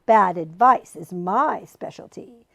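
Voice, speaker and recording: A woman voicing a mischievous male monster who calls out loudly and speaks with glee and coldness. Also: medium pitch, animated, takes it slowly, creaky, no room sound, exaggerated voice. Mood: gleeful boastful. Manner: loud calling, gleeful and cold. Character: gleeful mischievous male monster of bad advice.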